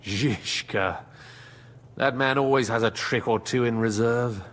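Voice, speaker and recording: A man's voice: medium pitch, measured pace, fairly bright tone, natural voice. A man playing a shrewd, wry medieval nobleman with a theatrical manner in video game dialogue. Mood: dry amusement.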